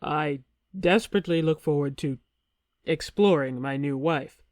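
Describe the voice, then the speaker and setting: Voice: mid-range voice, a little slow, a little mellow, no room sound, clean studio sound, voice pushed down, close to an everyday voice. A narrator voicing a young warrior posing as a king, stiff and awkward with forced cheer. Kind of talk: audiobook character voice.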